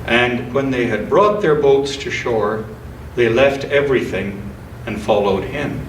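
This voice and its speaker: Slow-paced man reading in a roomy church. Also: medium pitch. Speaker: church scripture and poetry reader. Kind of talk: church reading with informal commentary. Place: church, speaking to a congregation. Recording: roomy, distant mic, faint noise.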